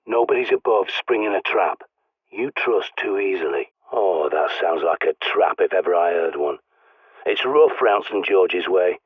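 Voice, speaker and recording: A man's voice: on the low side, no room sound. A man voicing a blunt, sardonic male survivalist in a video game, with a British accent, guiding someone over the radio. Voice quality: gruff, sardonic, swears often. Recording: dry tinny radio-like line.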